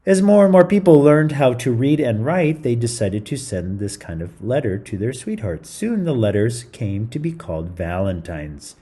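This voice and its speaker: A man's voice: mid-range voice, big pitch swings, normal speed, hardly any pauses, mellow, husky. A man reading a story aloud to children in a theatrical, playful style, with chatty asides. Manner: theatrical, playful, interjecting comments to young listeners.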